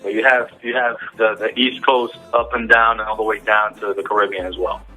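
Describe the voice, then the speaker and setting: A male host speaks in a husky yet bright voice. He interviews conversationally, often repeating the start of a phrase before moving on. Faint music runs behind the phone interview. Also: lowish voice, level tone, low-quality recording. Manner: halting, repeats phrase openings.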